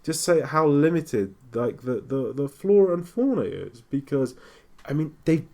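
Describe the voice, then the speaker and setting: British guy on a podcast, kinda high voice, rambles and laughs a lot. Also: unhurried. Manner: animated, laughing often, long digressive explanations. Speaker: podcast co-host. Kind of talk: casual chat.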